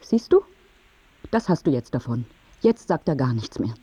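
An actress in a radio play playing an anxious, doting mother who can be combative, with a breathy, husky voice. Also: extremely low pitch, big pitch swings, moderate pace, dark tone, exaggerated voice. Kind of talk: radio drama dialogue.

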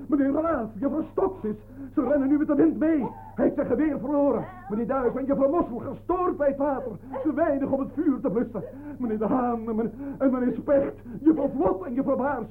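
An actor playing a sly, teasing, predatory fox of a colleague in a radio play, theatrical and insinuating. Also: male voice, extremely high pitch. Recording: tinny, noisy old radio recording.

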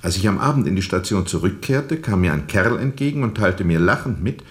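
An actor playing a brooding steamboat captain in a radio play, telling his story in a reflective, deliberate, formal and disillusioned way. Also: low voice, animated, soft background music. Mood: brooding recollection. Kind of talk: radio play narration, literary monologue.

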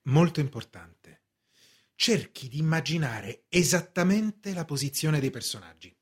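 An actor playing a timid, apologetic clerk in a radio play, hesitant and stammering, with sudden bursts of bravado. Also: male voice, a little high, expressive, unhurried, breathy edge. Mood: hesitant, uneasy. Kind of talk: theatrical radio drama acting.

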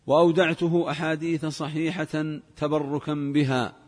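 A man's voice: on the high side, flat delivery, moderate pace, darkish tone. A man reading a religious text aloud as a student in a lesson. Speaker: student reader. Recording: distant, reverberant hall.

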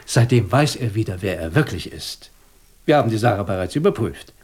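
A man's voice: fairly low pitch, animated, moderate pace, darkish tone, slightly rough. A man acting a shrewd, methodical British police inspector in a German radio crime drama, speaking standard German in a probing, ironically polite way. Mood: methodical, confident. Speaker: actor playing a police inspector.

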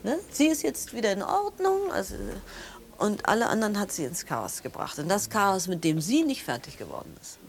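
An actress playing an opinionated sister in a naturalistic audio drama, talking chattily with halting restarts and tag questions. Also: female voice, mid-range voice, very animated, creaky. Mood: accusing, agitated. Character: animated, opinionated sister of a wealthy man, accusing.

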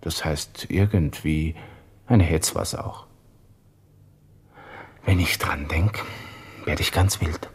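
An actor playing an arrogant, irritable young Austrian lieutenant from Vienna, brooding and grumbling to himself in a radio play monologue. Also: male voice, very deep voice, slow, pauses often, husky, full character voice. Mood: irritated brooding.

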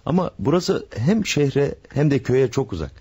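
An actor playing a farmer husband who hides a murder and calmly soothes his new wife in a radio play. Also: medium pitch, moderate pace, dull audio. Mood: soothing. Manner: soothing, reassuring, smooth-talking. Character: scheming farmer husband, secretly a killer. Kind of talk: classic radio drama acting.

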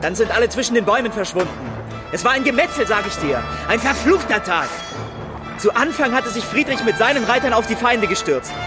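Very high-voiced, shaken imperial officer. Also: male voice, animated, fairly quick, music in the background. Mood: shaken, grim. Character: shaken, defeated male imperial courtier-soldier. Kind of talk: German radio play acting.

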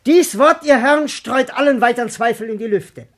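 An actor playing a cheeky, cowardly, food-loving servant in a classical comic radio play, with a droll, wheedling delivery. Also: male voice, extremely high pitch, moderate pace, fairly bright tone. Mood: fawning.

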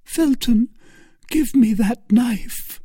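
A desperate noblewoman of the storybook kind, acted out by a man, pleading with melodrama and cunning. The voice is pitched well up and unhurried. Every word comes through clean and imploring. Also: warm tone, slightly rough, no echo, full character voice. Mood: anguished, dramatic. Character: desperate, pleading, scheming noblewoman, a captive temptress.

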